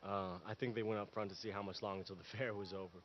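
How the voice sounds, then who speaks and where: An actor playing a reluctant, easily embarrassed team player in a screen drama, speaking in an understated, hesitant, dry way. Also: male voice, fairly low pitch, steady tone, quick pace, dull audio, a little hiss or hum, natural voice. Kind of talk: naturalistic screen acting.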